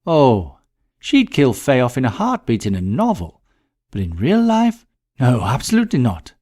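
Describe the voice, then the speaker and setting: A man's voice: medium pitch, huge pitch swings, normal speed, warm tone, voice pushed up. A man voicing a distressed, bitter woman in a mystery story, talking in a dramatic, gossipy, emphatic way. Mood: emphatic, dismissive. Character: distressed, bitter middle-class woman recounting a friend's death.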